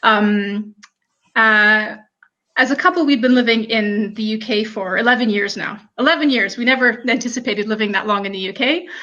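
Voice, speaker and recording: A woman in her thirties with a Canadian accent, telling her career story in a relaxed talk full of ums and "you know". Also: on the high side, moderate pace. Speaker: panel speaker. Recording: muffled lo-fi audio.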